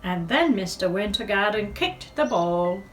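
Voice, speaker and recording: Slow, very expressive woman storyteller. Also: mid-range voice, soft background music, poor audio. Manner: chatty asides and questions to young listeners. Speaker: children's storyteller. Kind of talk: picture-book read-aloud.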